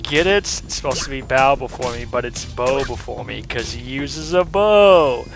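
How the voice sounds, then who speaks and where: Young male let's-play host, hoarse, mid-pitched, casual and rambling, faint music bed. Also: lively intonation, moderate pace. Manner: chatty, rambling with frequent ums and uhs. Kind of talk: casual gameplay commentary.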